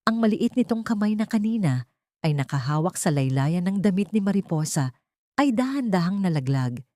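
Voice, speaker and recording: Low, mellow woman narrating drama. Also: big pitch swings, normal speed, dry recording. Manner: solemn, dramatic. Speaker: audiobook narrator. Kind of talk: scripted dramatic story narration.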